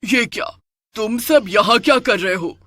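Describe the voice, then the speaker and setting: A woman voicing a wicked witch ghost in an animated story, exclaiming in a theatrical, menacing way. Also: a little high, a little slow, dry recording. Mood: angry, suspicious. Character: wicked female witch ghost, gleeful then enraged. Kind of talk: animated moral story character acting.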